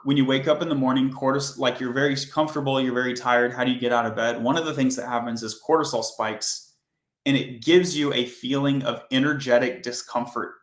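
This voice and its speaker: A man hosting a coding livestream, chatting casually and rambling with self-corrections, his voice hoarse and fading. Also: medium pitch, steady tone, brisk, echoey room.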